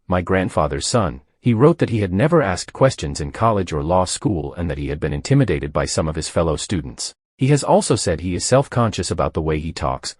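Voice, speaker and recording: A man with a low, husky voice narrates a news story in a scripted read, his intonation rising and falling with a lot of movement. The sound is close and dry, with no room around it. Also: darkish tone, dry recording.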